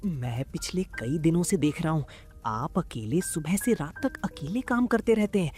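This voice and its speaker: A woman voicing an eager, obedient young village man, polite and earnest, in an animated story. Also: low voice, moderate pace, soft background music. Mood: respectful, eager. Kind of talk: Hindi animated story dialogue.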